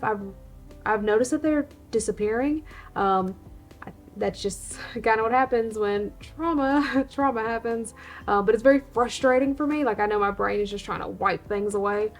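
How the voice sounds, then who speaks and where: A woman hosting her own channel, giving an unscripted casual update to viewers, a little hoarse and pausing for breaths. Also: fairly high pitch. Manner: unscripted, breathy pauses, laughs often. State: slightly hoarse, short of breath. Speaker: reptile channel host.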